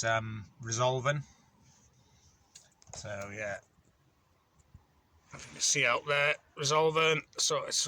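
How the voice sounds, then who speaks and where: A man, a van courier chatting through a casual vlog in a rambling, matter-of-fact way with many pauses and ums. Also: mid-range voice, pushed and bright, slight background noise. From North Wales. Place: in or beside his van on an industrial estate.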